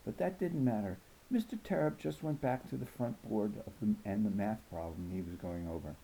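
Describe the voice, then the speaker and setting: A man reading a children's novel aloud in an expressive storytelling style. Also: lowish voice, brisk, creaky, a little hiss or hum, rough recording. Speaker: audiobook reader.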